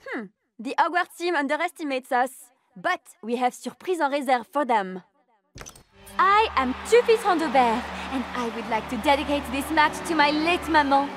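A woman's voice: sits high, animated, moderate pace. A woman voicing a theatrical, gossipy French match commentator in a video game, speaking dramatically with a French accent. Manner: dramatic, drops French phrases into English. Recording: music behind the voice.

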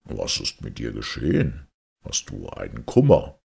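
A covetous, imperious storybook king, acted out by a male narrator. His voice sits extremely low and is rough with husk, and he delivers his words slowly and with weight. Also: huge pitch swings, darkish tone, exaggerated voice. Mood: inquiring. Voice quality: very deep, gravelly. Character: covetous, commanding fairy-tale king.

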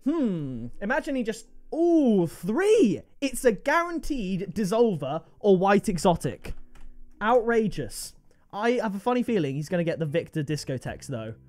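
A man, commenting on game item trades at his desk, excitable and jumping to high-pitched disbelief. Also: a little slow. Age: 20s. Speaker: video game trading streamer. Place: gaming room indoors.

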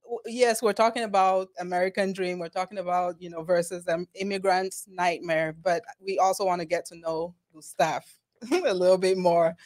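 A woman's voice: on the low side, steady tone, normal speed, a little mellow. A woman hosting a podcast interview in a casual, playful way, laughing easily and affirming her guest, on a studio mic. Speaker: podcast host. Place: bookshelf-lined podcast studio. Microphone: studio mic on boom arm.

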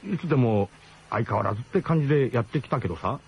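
A man playing an embarrassed camp cook in a radio drama, speaking casually in a halting, roundabout way. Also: mid-range voice, expressive, vocal fry, husky, heavily acted. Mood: awkward, hesitant. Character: hesitant, embarrassed male camp cook, awkwardly diplomatic friend. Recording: dull, slightly noisy old radio broadcast.

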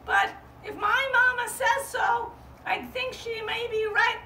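A woman's voice: extremely high pitch, steady tone, takes it slowly, sharp tone, voice pushed up, full character voice. A woman voicing a timid little sheep girl who bleats and hesitates with little ums. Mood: shy. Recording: roomy, from far off, faint hum.

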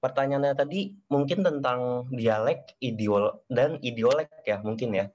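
A young man from Java explains a language topic on a video call, halting and restarting as he searches for words. His pitch is ordinary. The sound is dull, like lo-fi call audio. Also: a little mellow. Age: young adult. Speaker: student presenter.

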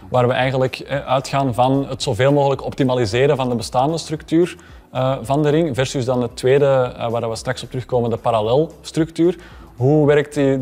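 A man's voice: medium pitch, a little mellow. Flemish man moderating a discussion. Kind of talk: explanatory panel discussion. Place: indoor café-style room, at a table.

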